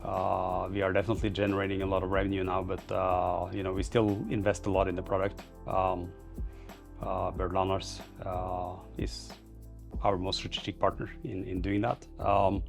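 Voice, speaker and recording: A man's voice: deep voice, completely flat delivery, moderate pace, gravelly, faint music behind. A man giving informal interview answers as a startup founder, in a hesitant, loosely phrased, rambling way. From Norway.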